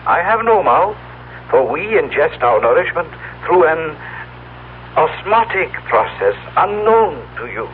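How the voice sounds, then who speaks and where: An actor playing a solemn, proud telepathic being from the future, speaking in formal, precise, stilted phrases as in old radio drama. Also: male voice, fairly high pitch, sing-song, a little slow. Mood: solemn, explanatory. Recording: tinny, noisy old radio broadcast recording.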